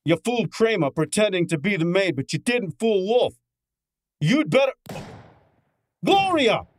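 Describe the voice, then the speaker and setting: Man acting a brash, lively radio gumshoe. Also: sits high, a little slow, slight background noise. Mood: urgent, shouting. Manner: exasperated, sardonic, hard-boiled. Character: brash, wisecracking male private detective's assistant. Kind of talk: old-time radio detective drama acting.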